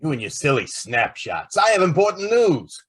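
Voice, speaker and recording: An actor playing a brash, impatient star reporter in an old-time radio drama, with a blustery manner. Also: male voice, a little high, very animated, normal speed, fairly bright tone, slight background noise.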